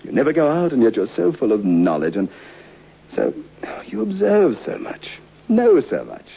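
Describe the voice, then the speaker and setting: An actor playing a curious, bookish gentleman recounting a ghost tale in formal, earnest old-time radio style. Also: medium pitch, huge pitch swings, normal speed, gravelly, husky, full character voice. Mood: admiring, curious. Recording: muffled old radio recording.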